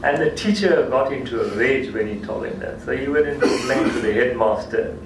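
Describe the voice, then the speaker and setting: A man's voice: medium pitch, animated, brisk. Man telling a story in an echoey hall. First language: Gujarati. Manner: anecdotal, repeats and restarts phrases. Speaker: speaker at a cultural talk. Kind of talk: storytelling lecture. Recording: echoey hall, distant mic, noisy.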